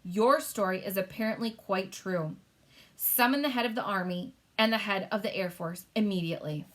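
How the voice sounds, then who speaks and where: An imperious queen from a storybook, as a woman reader puts her on. She is brisk and testy, speaking formally and giving orders as if used to being obeyed. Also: mid-range voice, a bit bright, natural voice. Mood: commanding. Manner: commanding, formal.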